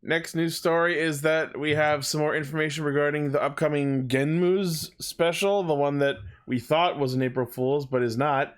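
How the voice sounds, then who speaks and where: A man's voice: fairly high pitch, steady tone, normal speed, hardly any pauses. A man co-hosting a podcast, chatting casually about fandom news and swearing freely. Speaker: podcast co-host.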